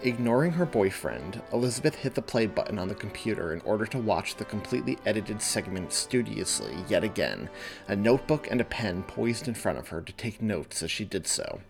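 A man's voice: on the low side, expressive, fairly quick, creaky, over music. A man hosting a podcast and reading his own story aloud, moving between casual talk and narration. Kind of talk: casual podcast intro and audiobook-style narration.

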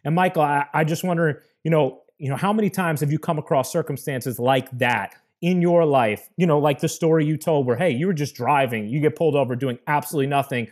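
Halting man host asking questions. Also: fairly high pitch, darkish tone. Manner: halting, many restarts and fillers. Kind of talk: casual interview questions.

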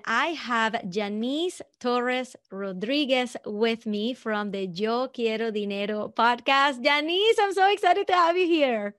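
A woman hosting a business podcast, reading an upbeat scripted intro and then interviewing a guest with enthusiasm. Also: on the high side. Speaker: podcast host and business coach.

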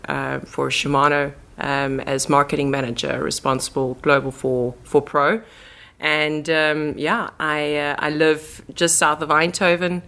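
A woman's voice: deep voice, fairly bright tone. A woman with a South African accent, chatting informally as a guest in a casual podcast interview. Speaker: interview guest, marketing manager.

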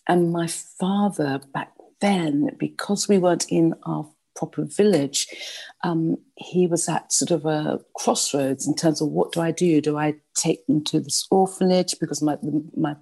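Gravelly-voiced older English woman telling her story. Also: deep voice, fairly even intonation, warm tone. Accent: English, London middle class. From Nigeria. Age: 60s. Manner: rambling, halting storyteller with many restarts and fillers. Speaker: guest. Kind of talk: casual interview, personal storytelling.

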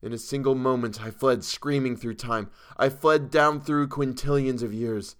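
A man's voice: medium pitch, fairly even intonation, a bit bright, clear, resonant tone, voice pushed up, lightly acted. Man acting a terrified, half-mad raving man. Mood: haunted, awed. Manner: hysterical, drawn-out, rising from gasps to screams. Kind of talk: dramatic horror audiobook character reading.

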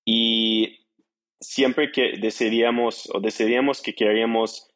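Un hombre de unos treinta años con acento estadounidense y el inglés como lengua materna cuenta su experiencia de forma informal en una entrevista, en un español con pequeños errores gramaticales. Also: male voice, mid-range voice, little pitch movement, measured pace, a bit bright. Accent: American. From United States. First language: English. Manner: non-native Spanish with small grammar slips. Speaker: interview guest, startup entrepreneur. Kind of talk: casual storytelling in an interview.

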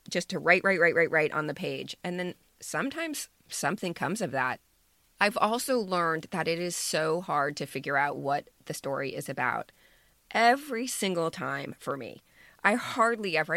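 A woman in her fifties with a low, gravelly voice and a sharp bright edge. She talks reflectively and emphatically as a podcast co-host, repeating words to drive points home. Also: dry recording. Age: 50s. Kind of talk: reflective casual talk.

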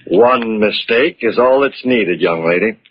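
An actor playing a sceptical, no-nonsense older police inspector in a 1940s radio mystery, curt and authoritative. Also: male voice, on the low side, measured pace, slightly rough. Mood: stern. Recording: muffled 1940s radio recording.

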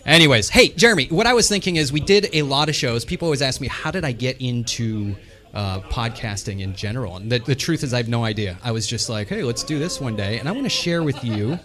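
A man's voice: mid-range voice, expressive, quick pace, a bit bright. A man hosting a podcast in casual, joking talk, sarcastic and teasing, with some swearing. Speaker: podcast host.

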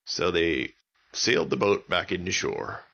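A man's voice: low-pitched, big pitch swings, a little slow, gravelly, a bit bright, muffled recording. A man telling an improvised bedtime story for children, using simple, repetitive wording. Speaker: children's story podcast narrator.

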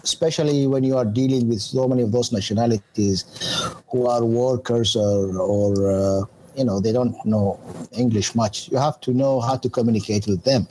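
A man's voice: medium pitch, fairly even intonation, normal speed, dark tone, slight background noise, rough recording. A man from Saudi Arabia whose first language is Arabic, speaking in a hesitant conversational interview with many fillers and restarts. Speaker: guest, consultant based in Jeddah.

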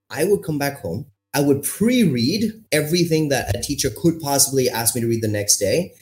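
A man in his thirties from India, a podcast guest telling his story in an animated way, with emphatic phrasing and pace that keeps shifting. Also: mid-range voice. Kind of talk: storytelling interview answer.

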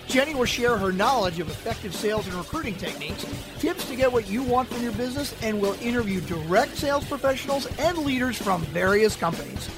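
High-voiced male announcer over music. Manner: punchy, announcing. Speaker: show announcer. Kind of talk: scripted intro voice-over.

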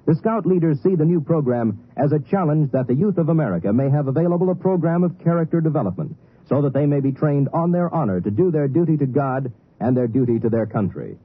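A man's voice: fairly high pitch, expressive, fast talker, breathy edge. A man reading a scripted 1950s radio public service announcement in a formal, earnest announcer's style. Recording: very narrow, tinny old radio broadcast.

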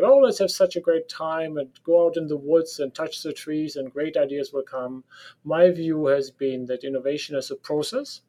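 A man's voice: on the high side, darkish tone. A man with a German accent, a professor answering interview questions in a direct, opinionated way and laughing often. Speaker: professor of operations management. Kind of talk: podcast interview guest.

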